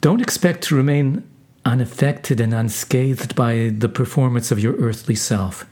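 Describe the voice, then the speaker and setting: A man's voice: medium pitch, unhurried, darkish tone, lightly acted. A man as an earnest, explaining male angel.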